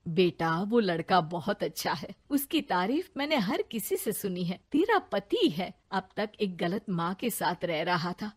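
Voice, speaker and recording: Kind gentle mother, soothing, lively pitch, cartoon, done by a woman. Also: a little slow, few pauses, slightly rough, heavily acted.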